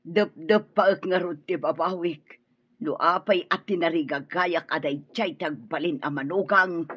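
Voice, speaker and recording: An actress playing a gossipy, money-minded middle-aged mother in a radio drama, exclamatory and husky. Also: on the low side, unhurried. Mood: resentful. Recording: dull lo-fi radio recording.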